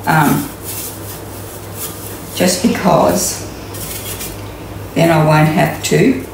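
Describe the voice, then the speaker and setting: Low-voiced Australian woman with gravelly tone. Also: extremely slow, noisy background. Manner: chatty, thinks aloud with many ums and restarts. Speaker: cooking demonstrator. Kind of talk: home cooking demonstration. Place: home kitchen, indoors. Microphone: camera mic at a few metres. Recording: echoey kitchen room.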